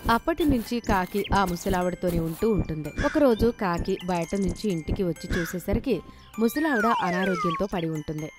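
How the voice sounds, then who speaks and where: A female narrator reads a scripted moral tale for children. Her pitch is middling. Light, tinkling music plays underneath. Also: lively intonation, moderate pace. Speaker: storyteller, narrator.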